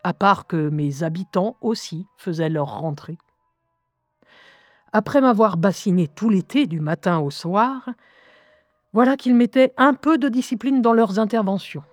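A woman playing a lively young schoolgirl who narrates her life in a wry, confiding, expressive way in an audio drama. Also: medium pitch, a little slow, no echo, studio quality, faint music behind, close to an everyday voice. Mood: confiding narration. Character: lively eleven-year-old schoolgirl recounting life with inner voices.

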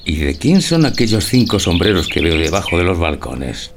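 A man's voice: deep voice, sing-song, normal speed, background noise. A man playing a timid, besotted older newlywed husband in a radio drama, earnest and hesitant. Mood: anxious, questioning. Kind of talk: stage-like radio drama acting.

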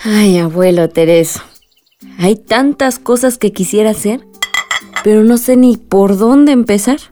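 An actress playing an eager, affectionate young village granddaughter in a radio drama, with a Mexican accent. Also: female voice, mid-range voice, measured pace, mellow, faint music behind, natural voice. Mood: affectionate, wistful. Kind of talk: Spanish-language radio drama acting.

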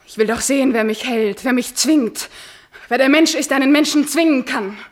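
An actress playing a proud, desperate young daughter in a classical radio tragedy, declaiming intensely with a breathy voice. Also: female voice, high-pitched, moderate pace, natural voice. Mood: indignant, defiant. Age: young adult. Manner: intense, declamatory, swinging from hushed whispers to outbursts. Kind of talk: classical German stage tragedy as radio drama.

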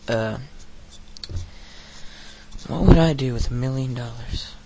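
A man's voice: medium pitch, slow, mellow, slight background noise, rough recording. A thirteen-year-old boy hosting his own podcast, rambling crudely with lots of fillers and swearing. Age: teens. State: recovering from hoarseness. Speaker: podcast host. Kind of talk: casual solo podcast rant.

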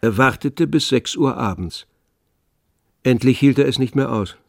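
A man narrating a literary radio play from a script in a measured, solemn manner. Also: mid-range voice, creaky, no echo, clean studio sound.

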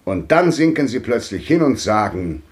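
An actor playing a grandly bitter old man in a 1950s radio drama, declaiming with sweeping swings between loud and hushed. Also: on the low side, big pitch swings, moderate pace. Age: older.

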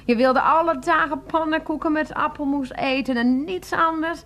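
A woman's voice: high-pitched, normal speed, a bit bright. An actress in a radio play, playing a middle-aged aunt who dotes on her nephew and fusses and scolds with many exclamations. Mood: doting, chatty. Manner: exclamatory, fussing, chiding.

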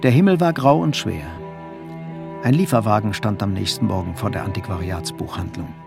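A man's voice: lowish voice, normal speed, vocal fry, over music. A man narrating a literary crime story as the grave narrator of a radio drama. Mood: grave, measured.

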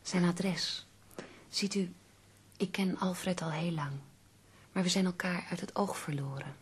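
A woman acting in a Dutch radio play as an ailing poet's devoted, earnest companion, soft, breathy and restrained. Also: on the low side, a little slow. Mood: reserved, polite.

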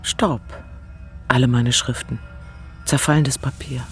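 A world-weary man, played by an actor, speaks in searching, deliberate fragments in a poetic radio play. The pitch is middling, the pace slow, and music runs underneath. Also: steady tone, natural voice.

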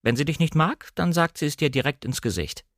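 A man voicing a brisk, decisive English gentleman, master of an estate, in matter-of-fact audiobook dialogue. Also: a little high, animated, no room sound, clean studio sound, voice pushed up.

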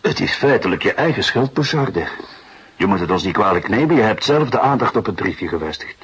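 An actor playing a patient, fatherly, wry police detective in a Dutch radio play, speaking in deliberate, musing phrases. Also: on the low side, sing-song, a bit bright, slightly rough, exaggerated voice. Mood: probing, wry. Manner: deliberate, hesitant musing with repeated assents. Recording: muffled radio drama recording.

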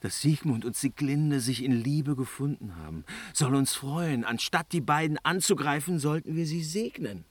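A man's voice: a little high, lively intonation, normal speed, few pauses, no room sound. A male actor playing a proud, willful god in a fantasy radio drama, with a declamatory, commanding manner. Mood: defiant. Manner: theatrical, declamatory. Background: music.

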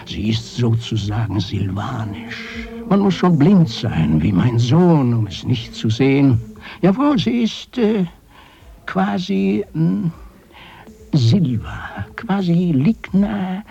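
A man acting a dreamy, brooding older father in a literary radio drama, halting and musing, sliding into whispers. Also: medium pitch, big pitch swings, gravelly, dark tone, lo-fi sound, exaggerated voice. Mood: bitter, rambling. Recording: music behind the voice.

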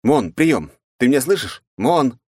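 A man's voice: mid-range voice, big pitch swings, measured pace, darkish tone, no room sound, voice pushed up. A male narrator voicing his first-person hero, a crude, irritable young man who swears. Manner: swearing, gruff, in pain. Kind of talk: audiobook character voice.

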